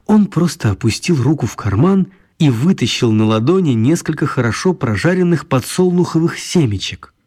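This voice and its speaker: Husky, wry male storyteller. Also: medium pitch, animated, moderate pace, hardly any pauses, a little mellow. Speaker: narrator. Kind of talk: scripted radio play narration.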